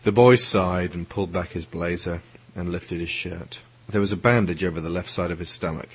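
Deep-voiced man narrating an audio drama. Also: fairly even intonation, moderate pace, husky. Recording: music under the voice.

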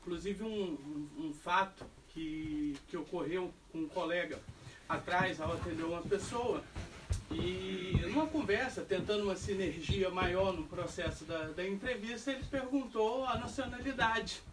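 A man with a Brazilian Portuguese accent asking a spontaneous question from the audience, in a careful, wordy and halting way. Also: high voice. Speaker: audience member. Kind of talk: spontaneous question at a public talk. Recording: distant microphone, clear background noise.

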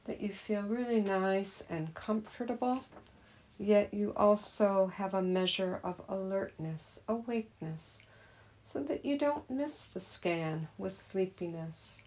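A woman gently leading a guided meditation as a teacher, in a soothing, unhurried manner. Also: medium pitch, low-quality recording.

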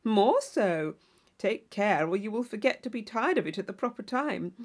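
Woman reading as a witty, teasing young gentleman. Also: mid-range voice, very animated, moderate pace. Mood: dry teasing. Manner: playful, mock-solemn, teasing. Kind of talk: classic novel audiobook character.

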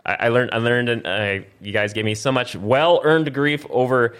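A man's voice: mid-range voice, normal speed, a bit bright, smooth. A man hosting a gaming show, chatting casually and encouraging the others as they play. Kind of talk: casual chatty banter while playing video games.

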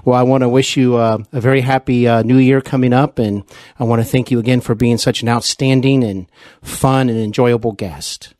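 A man hosting a podcast, speaking courteously and deliberately to guest and listeners. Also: medium pitch, steady tone, a little mellow, dry recording, clean studio sound. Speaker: podcast host. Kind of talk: interview hosting and sign-off address to listeners.

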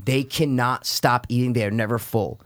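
A man in his early thirties chatting on a podcast with friends. He talks in a mid-pitched voice and tells rambling, profane stories. He breaks in with strings of "no, no, no" when someone gets him wrong. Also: fairly even intonation, moderate pace, fairly bright tone. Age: 30s. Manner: loose, profane storytelling, repeats "no, no, no". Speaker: podcast co-host. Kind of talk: casual podcast chat.

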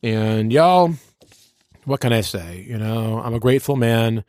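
A man speaks as a radio personality in a relaxed interview chat. His voice sits at an average pitch, and his delivery is slangy, playful and rhetorical, like a showman. The recording is dry and close. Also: unhurried, no room sound.

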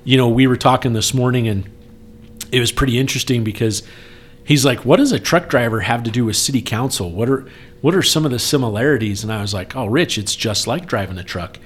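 Male podcast speaker, mid pitch. Earnest, persuasive, conversational appeal with emphatic repetition. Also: a little fast. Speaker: speaker appealing for campaign support. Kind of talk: casual podcast talk.

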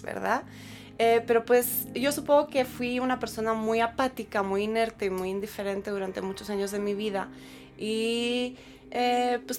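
A woman's voice: medium pitch, creaky. A woman from Spain with a Spanish accent, a yoga teacher chatting as a podcast guest and telling her story with lots of fillers. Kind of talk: casual conversation.

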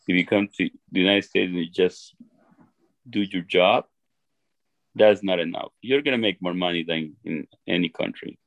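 A middle-aged man from Ecuador with an Ecuadorian Spanish accent, telling his life story to an interviewer in an earnest, halting way with many restarts. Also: low voice, gravelly, fairly bright tone, no room sound, dull audio. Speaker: interview guest. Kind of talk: reflective personal storytelling.

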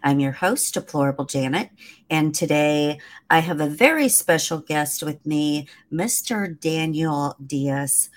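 A woman hosting a casual podcast interview, upbeat and encouraging toward her guest. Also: sits low. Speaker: podcast host.

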